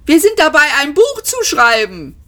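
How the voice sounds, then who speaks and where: A woman's voice: sits high, slow, fairly bright tone, pitched above the performer's own voice, exaggerated voice. A woman voicing a nervous, placating man in an audiobook, hesitant and trying to sound confident. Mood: alarmed, protesting. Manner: stammering, hesitant. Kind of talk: audiobook character voice.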